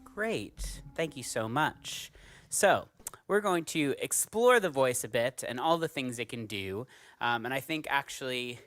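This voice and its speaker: A man with a New York American accent and a bright, clear-cut tone. His pitch sits mid-range as he talks casually to a workshop group as one of its singers. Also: expressive, measured pace. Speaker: a cappella singer leading a workshop.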